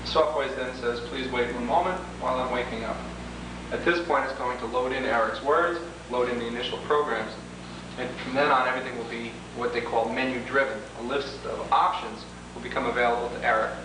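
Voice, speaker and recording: A man's voice: mid-range voice, steady tone, a little fast. Echoey, distant man giving step-by-step instructions. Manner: explanatory, step-by-step. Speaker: presenter demonstrating software. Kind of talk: instructional demo narration. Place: reverberant indoor room, away from mic. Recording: very echoey, distant, noisy 1980s tape.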